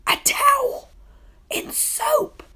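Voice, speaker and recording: A woman voicing a playful, secretive young schoolgirl in a hushed, conspiratorial way for a children's storybook. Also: very slow, whispered. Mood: hushed excitement. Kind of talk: children's storybook read-aloud.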